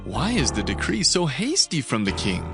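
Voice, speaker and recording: A man's voice: mid-range voice, huge pitch swings, normal speed, gravelly, over music. A man playing a devout, wise Hebrew prophet, speaking in earnest, solemn oratory for a scripture dramatization. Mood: questioning. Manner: declamatory, earnest. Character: devout, wise young Hebrew prophet addressing God and king.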